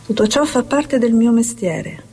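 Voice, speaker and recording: Actress as slow, dark, reverberant woman. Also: fairly high pitch, lively intonation, husky. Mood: solemn, reflective. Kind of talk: dramatic monologue. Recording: long hall-like reverb.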